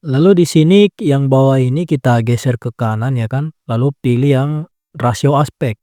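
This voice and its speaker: A man talks a viewer through an app tutorial in a casual, unhurried way. His voice is mellow and warm, and the recording is close and dry. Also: medium pitch, no echo. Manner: relaxed step-by-step explaining, frequent "nah" and "ya kan" tags. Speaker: tutorial creator.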